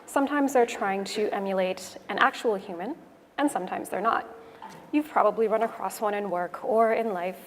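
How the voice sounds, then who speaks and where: A woman's voice: medium pitch, normal speed. Canadian woman speaking in an echoey hall. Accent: Canadian English. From Toronto, Canada. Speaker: conference speaker, web developer. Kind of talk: prepared conference talk. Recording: echoey hall, noisy room ambience.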